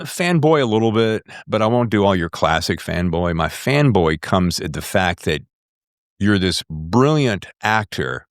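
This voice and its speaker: An American man with a deep, bassy voice, hosting a podcast in an effusive, emphatic and jokey way. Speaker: podcast host. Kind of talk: enthusiastic podcast intro and casual interview chat.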